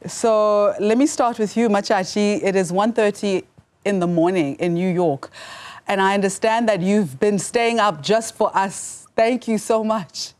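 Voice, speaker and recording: A woman news anchor introducing a story and asking guests friendly, measured questions in a studio interview. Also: mid-range voice, darkish tone.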